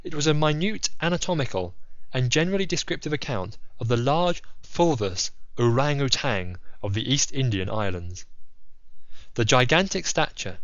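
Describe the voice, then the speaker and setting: A man giving a formal, measured, dramatic narration of classic mystery fiction as an audiobook reader. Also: medium pitch, expressive, fairly bright tone, no echo, dull audio.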